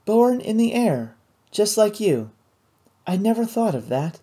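An audiobook reader voicing a wealthy, eager, well-meaning young woman who is earnest and quick to take offence at unfairness. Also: mid-range voice, sing-song, mellow, breathy edge, pitched above the performer's own voice. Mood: enthusiastic.

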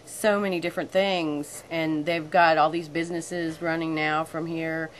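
Low-pitched woman, noisy outdoor recording. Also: little pitch movement, normal speed. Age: middle-aged. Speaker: visitor giving a testimonial. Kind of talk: casual unscripted testimonial. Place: outdoors beside a brick building. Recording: noisy outdoor camcorder sound.

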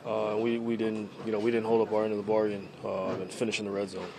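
A young man answering reporters' questions after a game, halting and repeating himself with many fillers, close to handheld mics in a locker room. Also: on the low side, completely flat delivery, creaky, very noisy. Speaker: quarterback at a postgame press conference. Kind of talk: postgame press answers. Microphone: handheld reporter mics at close range.

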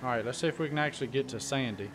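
Dude chatting on a game stream, folksy wording, bit of noise behind him. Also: male voice, mid-range voice, racing. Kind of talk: casual gaming livestream chat. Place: warm room at home.

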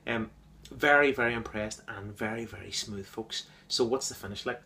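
A man's voice: lowish voice, normal speed, creaky, bright, forceful tone, slight background noise. Chatty man reviewing a beer. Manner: enthusiastic, repeats words, many fillers. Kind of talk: casual beer review vlog.

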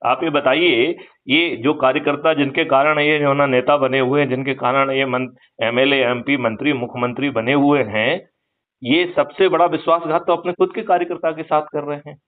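A man's voice: medium pitch, steady tone, normal speed. An older man talking persuasively about politics on a phone call, emphatic and lecturing, often asking rhetorical questions for agreement. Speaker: activist, retired police officer. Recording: muffled narrow-band phone call recording.

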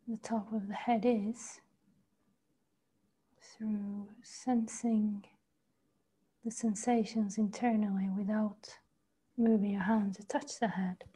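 A woman leading a guided body meditation, speaking slowly and softly, often in a half whisper. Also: mid-range voice, slightly rough, poor audio. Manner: slow, soft, partly whispered guidance. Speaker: meditation teacher. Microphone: video call.

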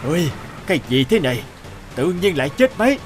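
A man's voice: a little high, sing-song, quick pace, faint music behind. A man voicing an impatient, demanding middle-aged factory boss in a cartoon. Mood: exasperated. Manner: demanding, brusque. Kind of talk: animated cartoon dialogue.

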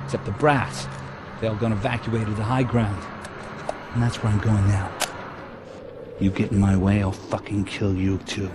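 An actor playing a hostile, embittered soldier in a video game, threatening and foul-mouthed. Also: male voice, fairly low pitch, level tone, normal speed, long pauses, heavy background noise, lightly acted. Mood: angry, threatening. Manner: aggressive, threatening, profane. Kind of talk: video game cutscene acting.

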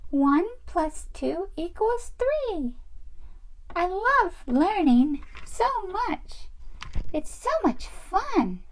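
A woman's voice: very high voice, big pitch swings, extremely slow, slight background noise, pitched above the performer's own voice, exaggerated voice, rough recording. A woman voicing a cheerful little girl toy who loves learning, exclamatory and singsong, in children's pretend play. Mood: excited.

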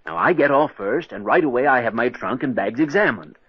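An actor playing a cocky, impatient diamond smuggler in an insistent, persuasive old-time radio drama style. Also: male voice, medium pitch, expressive, a little fast, slightly rough. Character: scheming smooth-talking male diamond smuggler, impatient and cocky. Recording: dull, lo-fi old radio recording.

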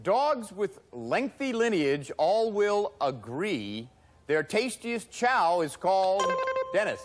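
A middle-aged man hosting a studio game show, talking in a brisk, cheerful, emphatic way. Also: high-pitched, big pitch swings. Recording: broadcast with loud music and studio audience.

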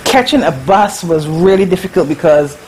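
A Barbadian woman in her forties, remembering her school days in a slow, halting way as an interview guest on a video call from her living room. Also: lowish voice, lively intonation, background noise. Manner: slow, reflective, halting with fillers. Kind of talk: casual recollection. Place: living room at home, indoors. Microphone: webcam on a video call.

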